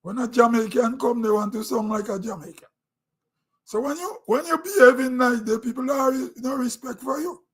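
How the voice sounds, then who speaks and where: A man's voice: very high voice, normal speed, fairly bright tone. A middle-aged Grenadian man with a Grenadian accent, giving a rambling, conversational opinion monologue on his own online show. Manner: rambling, conversational, with throat-clearing and restarts.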